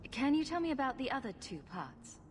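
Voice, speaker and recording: A woman's voice: sits high, sing-song, a little fast, slight background noise. A woman playing a dry, challenging human adventurer in a video game, with a wry, direct way of questioning. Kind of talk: video game cutscene acting.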